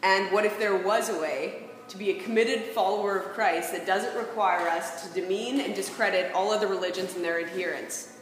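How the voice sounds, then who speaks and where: A woman's voice: medium pitch, moderate pace, soft background music. A woman giving an informal, reflective talk, often posing rhetorical questions. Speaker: speaker presenting ideas on faith and peacemaking. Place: large reverberant room. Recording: very echoey hall, faint background noise.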